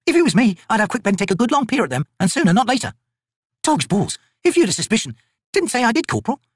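A man voicing a gruff female soldier, brisk and sardonic in a raised voice. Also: high voice, big pitch swings, dry recording, studio quality, voice pushed up.

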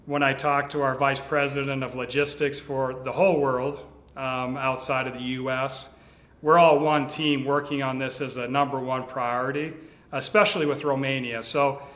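Middle-aged man, bright forceful voice, earnest reassuring tone, roomy office echo. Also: mid-range voice, fairly even intonation, normal speed. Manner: earnest and reassuring, restarts often. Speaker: company vice president. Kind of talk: video address to sales leaders. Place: large bright office with tall windows, indoors. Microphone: standing before a fixed camera, two-shot. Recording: echoey room.

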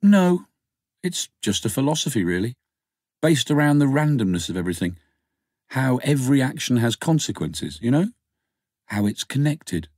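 A man's voice: mid-range voice, big pitch swings, moderate pace, long pauses, darkish tone, no echo, voice pushed up. A man acts out a thoughtful, hesitant woman lover in an audiobook. She speaks in an earnest, musing way with a teasing streak. The recording is close and dry.

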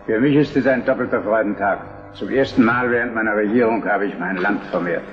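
A man's voice: on the low side, brisk, close to an everyday voice. An actor playing a solemn, modest old Prussian king in a German historical radio drama. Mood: solemn, pleased. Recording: dull radio sound, music behind.

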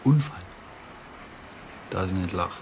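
A low-voiced Bavarian man in a radio crime drama, spiteful and quick to take offence. He justifies himself brusquely, in a naturalistic dialect delivery. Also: lively intonation, darkish tone, a little hiss or hum, heavily acted. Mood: scornful. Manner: brusque, indignant, self-justifying. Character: defensive, spiteful Bavarian brother-in-law under police questioning.

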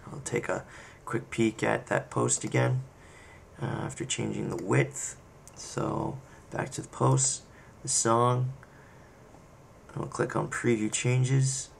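Guy doing a laid-out screencast how-to, really slow and super creaky, kinda thinking out loud, bit of background noise. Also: mid-range voice, fairly even intonation. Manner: unscripted, hesitant, pauses while clicking. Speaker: software tutorial presenter. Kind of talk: casual screencast walkthrough, thinking aloud. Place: indoor screen-recording voice-over.

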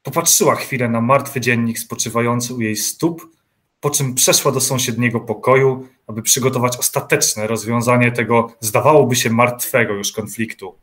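A man's voice: medium pitch, steady tone, normal speed, fairly bright tone. A man reading scripted literary narration as the narrator of an audio drama.

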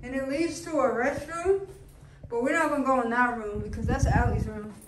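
Woman showing off her house on a phone cam, slangy and cussing, slightly high voice. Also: steady tone, moderate pace, reverberant, noisy background. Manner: loose slangy talk, swears freely. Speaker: vlog host. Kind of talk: casual house tour vlog. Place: inside a house.

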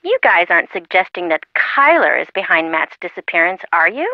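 An actress playing a girly, sentimental young woman, expressive and fussy, chiding her friends through a telephone filter. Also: on the low side, a little fast, no room sound. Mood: exasperated, sentimental. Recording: muffled, lo-fi phone-call sound.

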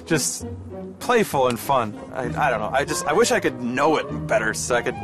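A man's voice: medium pitch, expressive, normal speed, music in the background. A young man on a reality show, easygoing and joking in a confessional interview.

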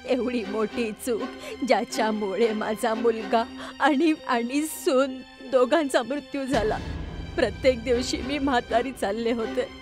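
Woman voicing old village grandmother, regretful, solemn confession, highish pitch, horror cartoon, music behind. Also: steady tone, a little slow, lightly acted.

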